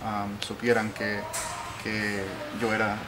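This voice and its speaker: A man from Puerto Rico interviewing conversationally and sharing personal anecdotes, halting and correcting himself as he goes. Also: lowish voice, monotone. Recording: noticeable background noise.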